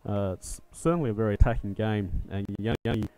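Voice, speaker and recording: An Australian man co-commentating a pool match, relaxed and talkative. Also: fairly low pitch, brisk, clear, resonant tone, rough recording. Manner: relaxed, talkative, a few fillers. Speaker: co-commentator and league organiser. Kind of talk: live sports commentary.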